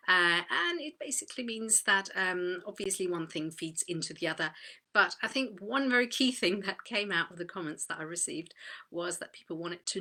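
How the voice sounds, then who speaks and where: Bright-voiced Danish-born woman, chatty and relaxed. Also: fairly low pitch. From Denmark. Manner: relaxed, chatty, many fillers and restarts. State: tired. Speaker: host of an online community talk. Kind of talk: live video talk to a group. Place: small dim home office.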